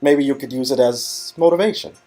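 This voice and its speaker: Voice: mid-range voice, a little fast, warm tone, soft background music, close to an everyday voice. A performer voicing a self-deprecating, wisecracking young male Hollywood agent in an earnest, conversational game-dialogue reading. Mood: upbeat salesman.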